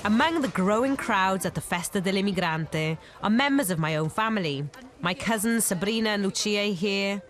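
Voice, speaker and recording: A woman in her thirties presenting a TV documentary, talking with bright enthusiasm. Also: medium pitch, lively intonation, normal speed. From Wales. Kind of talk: presenting and interviewing. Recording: music under the voice.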